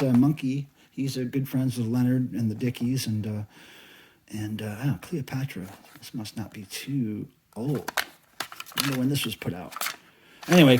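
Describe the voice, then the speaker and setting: A middle-aged man showing off his collection in casual, unscripted chat, enthusiastic, rambling and a little profane. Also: medium pitch. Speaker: cassette collector and video host. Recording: clearly noisy home recording with clicks.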